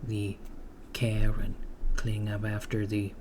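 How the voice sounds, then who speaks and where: Man playing a slow, hushed villainous henchman recruiter. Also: lowish voice, monotone, natural voice. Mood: businesslike. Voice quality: soft-spoken, often slipping into whisper. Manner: hushed, halting, matter-of-fact. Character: polite, bureaucratic male henchman recruiter at an evil corporation. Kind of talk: ASMR roleplay, improvised job interview. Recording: clearly noisy close recording, keyboard typing. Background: computer keyboard typing.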